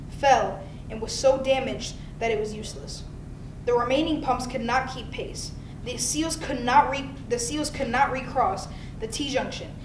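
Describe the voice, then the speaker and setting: A woman reading a scripted narrative aloud, occasionally stumbling over her words. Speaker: volunteer audiobook reader from a school community. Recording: roomy, distant, noisy with low rumble.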